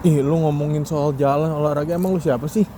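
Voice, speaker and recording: A man's voice: a little high, steady tone, quick pace. Young man chatting over street noise. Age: 20s or 30s. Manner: conversational, self-deprecating, rhetorical questions. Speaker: solo podcast host. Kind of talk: casual rambling monologue while walking. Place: outdoors, walking along a street. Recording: outdoor urban noise, passing vehicles. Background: clear traffic and ambient noise.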